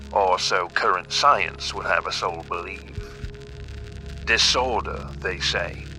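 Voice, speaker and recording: An actor playing an obsessive, brooding eccentric billionaire, delivering a grave, literary monologue in an audio drama. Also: male voice, lowish voice, pushed and bright, heavily acted, low-quality recording.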